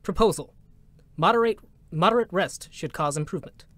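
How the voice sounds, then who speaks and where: Robotic support drone, impassive, deadpan, formal, clipped reports, dry close sound, game drama reading. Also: male voice, sits high, fairly quick, no echo.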